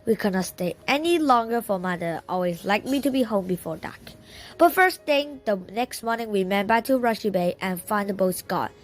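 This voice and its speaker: A woman's voice: medium pitch, animated, normal speed. A woman reading a children's novel aloud as a first-person storyteller. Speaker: audiobook reader.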